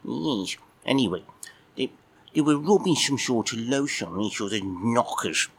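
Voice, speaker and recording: A man's voice: medium pitch, lively intonation, unhurried, a little hiss or hum. A man voicing a cheeky, crude, dim-witted male cat who rambles with mock innocence.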